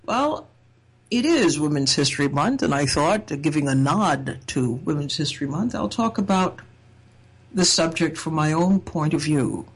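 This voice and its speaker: A woman from Trinidad giving a reflective personal talk in a measured, thoughtful way, with wry humor. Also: low-pitched, expressive, husky. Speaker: speaker sharing a personal essay.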